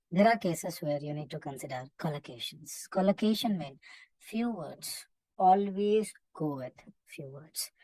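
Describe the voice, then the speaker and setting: A woman with an Indian accent teaching a live online English class in a brisk, instructive way with short, clipped remarks. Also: low voice, darkish tone, no room sound, poor audio. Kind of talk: live interactive lesson, calling out names and marking answers.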